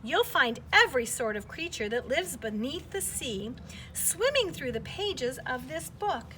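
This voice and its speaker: High, animated woman reading to children. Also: unhurried, slight background noise. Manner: expressive, simple child-directed prompts and counting. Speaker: teacher reading aloud to children. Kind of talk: children's picture book read-aloud.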